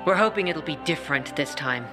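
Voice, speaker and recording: An actor playing a tough peacekeeper commander who speaks in a gruff, curt way. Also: mid-range voice, lively intonation, brisk, over music, lightly acted.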